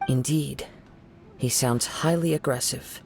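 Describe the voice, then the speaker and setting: A man playing a gentle, composed young wandering samurai in a video game, speaking formally and reflectively. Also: medium pitch, unhurried, gravelly, soft background music. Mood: calm, serious.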